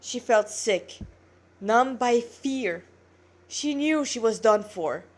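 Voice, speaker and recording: A woman with a fairly high voice reads fiction aloud into a tablet. She sounds tired but narrates with drama, in the informal way of an amateur storyteller. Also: expressive, slow pace. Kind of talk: narrated story reading with character dialogue. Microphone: tablet recording.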